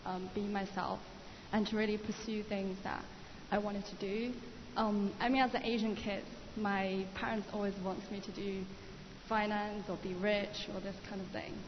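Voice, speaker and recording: Young female speaker from Shenzhen, mid-pitched, chatty, hesitant self-introduction, dull recording with faint hiss. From Shenzhen, China. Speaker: participant introducing herself. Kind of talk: informal self-introduction to a group. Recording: dull, hissy, echoey hall recording.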